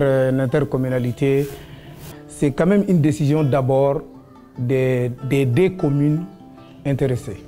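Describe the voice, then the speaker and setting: A man speaking in a documentary interview, explaining things with many pauses and fillers. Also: medium pitch, dark tone.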